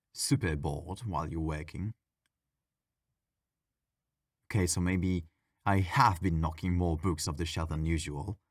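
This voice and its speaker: Casting: male actor for a petulant ghost in an audio roleplay; lowish voice, casual sass, dramatic griping, very dry sound. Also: no room sound. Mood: defensive, teasing. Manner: sassy, casual, dramatic complaining. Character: petulant, mischievous male ghost haunting a public library. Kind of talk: ASMR audio roleplay, conversational acting.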